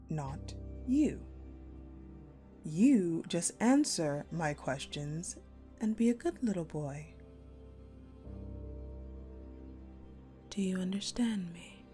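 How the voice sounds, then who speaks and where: A sadistic ice-queen general played by a woman in a dark audio roleplay, with music playing clearly behind her. Her voice sits a little low and moves very slowly, savoring each haughty taunt. She is imperious and deliberate, often sinking into a breathy murmur. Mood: cold, toying menace. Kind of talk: dramatic audio roleplay acting.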